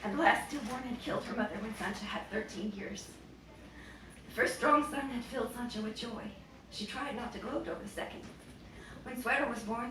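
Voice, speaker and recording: A young woman, an author giving an expressive reading of her novel at a bookstore event. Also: fairly high pitch. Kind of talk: expressive public book reading. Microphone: boom-stand mic at lectern, distant camera. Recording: roomy, distant, noisy bookstore recording.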